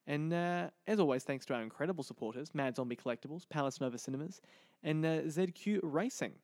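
A man's voice: fairly high pitch, quick pace, a little mellow, no room sound, clean studio sound. A man hosting a podcast in an upbeat, promotional way. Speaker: podcast host. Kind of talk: podcast hosting, interview and sign-off.

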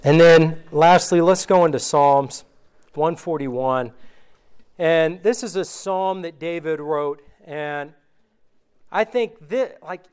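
A man preaching an informal sermon, reading scripture aloud slowly and conversationally, his voice worn from recent illness. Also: a little high, darkish tone, smooth. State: recovering from illness, voice going.

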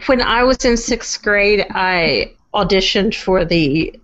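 A woman's voice: mid-range voice, expressive, slow pace, fairly bright tone, lo-fi sound. A woman co-hosting a podcast in casual chat, wry and self-mocking. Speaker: podcast co-host.

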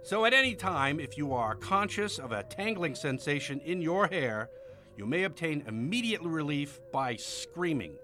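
A man's voice: on the high side, very animated, moderate pace. A man playing a pompous, theatrical old-time horror showman narrating a spoof movie trailer. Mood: grandiose, sly.

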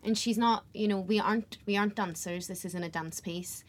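A woman's voice: medium pitch, a little fast, slight background noise. A woman in her twenties with a West Belfast accent, an actor talking earnestly about her training in an interview. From Northern Ireland. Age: 20s. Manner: earnest, lots of fillers like "kinda". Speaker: stage actor. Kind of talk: casual interview chat.

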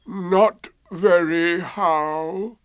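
A man voicing a gloomy old grey donkey in a storybook, with slow, drawn-out, mournful speech. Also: high-pitched, no room sound, voice pushed up.

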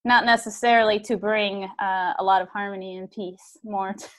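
A woman hosting a podcast, talking in a lively, chatty way and laughing often. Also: mid-range voice, moderate pace. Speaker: podcast host. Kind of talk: casual podcast conversation.

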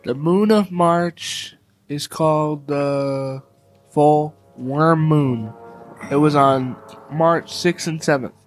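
A man's voice: a little high, slow, faint music behind. A young man on a podcast team, speaking in a plain, laconic way. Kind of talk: simple reading of facts and casual chat.

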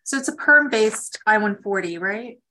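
A woman's voice: mid-range voice, lively intonation, normal speed, bright, forceful tone, low-quality recording. A woman attorney hosting a conference call, giving direct, explanatory legal advice in a conversational way.

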